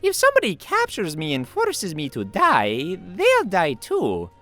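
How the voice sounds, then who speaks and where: Bouncy cartoon plumber, wildly lilting. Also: male voice, high-pitched, wildly swooping pitch, a little slow, pushed and bright, soft background music, pitched above the performer's own voice, full character voice. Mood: matter-of-fact. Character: cheerful cartoon Italian plumber brother, lighthearted and quippy. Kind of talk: cartoon fan-parody voice acting.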